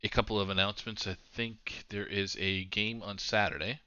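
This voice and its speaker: A man's voice: on the low side, normal speed, clean tone, dry recording, dull audio. A man hosting a card game stream, chatting casually and reading out upcoming matches with plenty of fillers. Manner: relaxed, rambling with fillers. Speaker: esports stream host. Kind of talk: casual chat and announcements.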